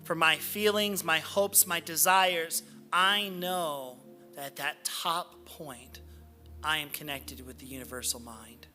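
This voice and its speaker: Slow, bright-voiced man leading prayer. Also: on the high side, soft background music. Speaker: spiritual practitioner reading inspiration and leading prayer. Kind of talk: devotional reading and spoken prayer. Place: church sanctuary, speaking to a congregation.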